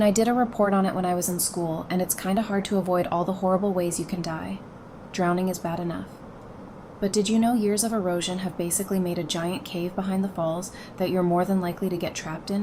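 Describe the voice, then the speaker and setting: An actress playing a frank, determined and playful psychiatrist surviving the apocalypse, talking informally in an audio drama. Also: female voice, lowish voice, steady tone, a little fast, lightly acted. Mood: uneasy, candid. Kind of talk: fan audio drama acting. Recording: clearly noisy, with ambience behind.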